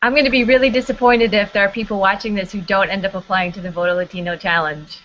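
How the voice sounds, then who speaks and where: Brief: upbeat, encouraging woman on a panel, mid-pitched, lo-fi recording. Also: fairly even intonation, fast, a bit bright. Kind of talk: online panel discussion. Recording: dull lo-fi sound.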